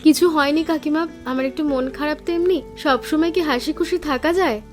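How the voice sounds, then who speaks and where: Very high-voiced, sulky young woman acted by a woman. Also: fairly quick, faint music behind, natural voice. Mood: downcast, evasive. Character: sulky, soft-hearted young village woman. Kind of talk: animated story dialogue.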